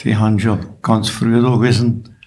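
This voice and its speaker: A man's voice: on the low side, little pitch movement, moderate pace, a little mellow. A man in his eighties with a Bavarian accent, casually reminiscing as a podcast guest. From Bavaria, Germany. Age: 80s. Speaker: podcast guest, local veteran.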